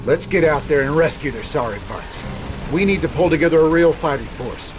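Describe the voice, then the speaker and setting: A man's voice: fairly high pitch, lively intonation, fairly quick, over music, natural voice. An actor playing a determined male rebel commander with a plain-spoken, resolute drawl in a video game cinematic.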